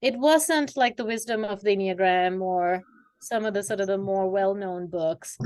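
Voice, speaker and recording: A woman in her forties, originally from Sri Lanka, speaks as an interview guest. Her voice sits at a middle pitch, and she tells her story in a reflective, searching way, pausing often on fillers. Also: a little mellow, low-quality recording. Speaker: guest, executive coach. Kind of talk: conversational interview.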